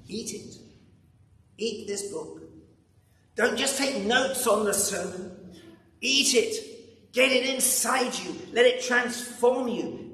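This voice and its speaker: An older man in his sixties or above preaching a sermon with emphatic delivery, dramatic pauses and rhetorical repetition. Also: sits high, bright, forceful tone. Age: 60s or older. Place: large church interior at a lectern. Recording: echoey church, distant mic, background noise.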